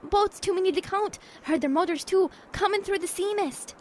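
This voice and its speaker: Woman playing an excitable high-pitched boy. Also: normal speed. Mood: excited, breathless. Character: excitable, urgent wartime English boy, best pal. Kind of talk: radio drama acting.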